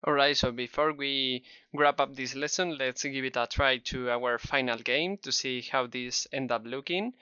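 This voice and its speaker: A man's voice: mid-range voice, pushed and bright, clean tone, no echo. A man with a Spanish accent narrating a step-by-step game development tutorial in a friendly, encouraging way. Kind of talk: screen-recorded tutorial narration. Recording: dull, slightly lo-fi close mic.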